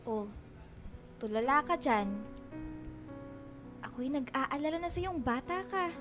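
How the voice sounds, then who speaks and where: A woman's voice: sits high, lively intonation, measured pace. A woman playing a worried, fussing middle-aged mother in a radio drama, talking in a nagging, motherly way. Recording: music bed behind the voice.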